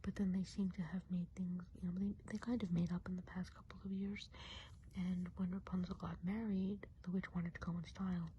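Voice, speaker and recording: A woman playing a friendly, chatty Christmas witch of the woods in a gentle, breathy ASMR whisper. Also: fairly low pitch, level tone, slight background noise, close to an everyday voice. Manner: gentle, unhurried, chatty and hesitant. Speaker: ASMR role-play host. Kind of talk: whispered ASMR role play.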